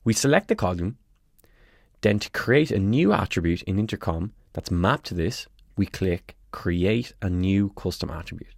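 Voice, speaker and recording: A man presenting a scripted tutorial, giving clear step-by-step instructions in a close, dry voice-over recording. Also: deep voice, lively intonation, moderate pace, dry recording.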